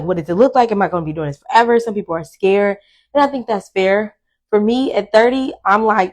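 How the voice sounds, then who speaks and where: Blunt, casual woman in her thirties. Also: on the low side. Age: 30s. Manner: blunt, candid, swears freely, rambling with many fillers. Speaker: podcast host. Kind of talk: casual solo monologue to viewers.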